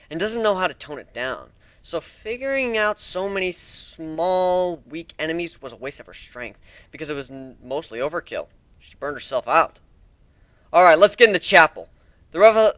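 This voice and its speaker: Amateur male narrator for fantasy fiction: forceful, expressive, very dry sound. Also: on the high side, dry recording. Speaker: amateur story reader. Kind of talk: dramatic reading of first-person fantasy fan fiction.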